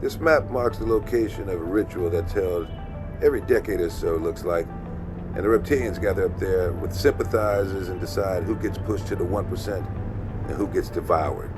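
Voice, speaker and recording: An actor playing a wild, paranoid conspiracy researcher in hiding in an audio drama, blunt and ominous. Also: male voice, low-pitched, moderate pace, close to an everyday voice. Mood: ominous, earnest. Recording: music behind the voice.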